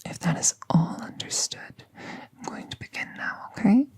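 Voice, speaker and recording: A woman playing a gentle magical veterinarian in an ASMR roleplay, soothing and reassuring in a hushed, breathy voice. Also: moderate pace, lightly acted. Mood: calm, reassuring.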